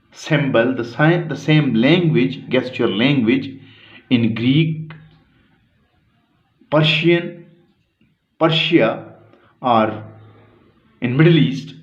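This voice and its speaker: A man delivers an academic lecture at an unhurried, measured pace, breaking phrases with pauses and small self-corrections. His voice sits at a middle pitch. The recording is dull and lo-fi, with a noticeable room echo. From Pakistan. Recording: echoey room, dull lo-fi sound.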